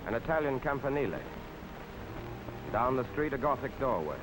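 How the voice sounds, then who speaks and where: A man delivering measured, rhetorical scripted narration in the style of a vintage documentary. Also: medium pitch, sing-song, poor audio. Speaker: narrator of an old travel film. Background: prominent music.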